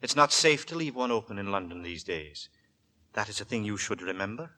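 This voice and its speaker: An actor playing a weary, secretive, quietly menacing gentleman lodger in a formal, halting, repetitive manner, in 1940s radio horror style. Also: fairly low pitch, lively intonation, a little hiss or hum. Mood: guarded, uneasy. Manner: formal, halting, repeats his words. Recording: vintage 1940s radio broadcast recording.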